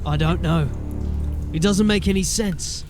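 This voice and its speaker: A man acting a gruff, authoritative sergeant of a city watch in a fantasy audio drama, with clipped speech. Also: high-pitched, a little slow, music in the background. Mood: puzzled.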